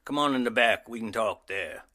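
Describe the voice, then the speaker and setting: An actor playing a paranoid, folksy rural gun-store owner with a gruff drawl in an audio drama. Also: male voice, mid-range voice, moderate pace, bright, forceful tone, lightly acted. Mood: folksy, boastful.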